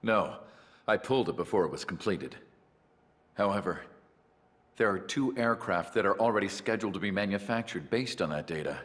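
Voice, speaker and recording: An actor voicing a calm, matter-of-fact male engineer in a game cutscene. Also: deep voice, normal speed.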